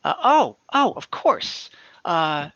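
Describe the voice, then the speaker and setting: A man narrating a tabletop fantasy game as game master over a video call, in a halting, improvised way. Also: fairly high pitch, sing-song, a bit bright, no room sound. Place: indoors at home, on a video call. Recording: slightly dull online call audio.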